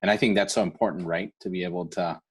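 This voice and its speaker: Man, deep voice, event host, earnest, encouraging, informal heartfelt address, online ceremony. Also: steady tone, quick pace, husky. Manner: earnest, encouraging, often seeking agreement with "right?". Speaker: event host for a scholarship foundation. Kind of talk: heartfelt informal speech at a virtual awards event.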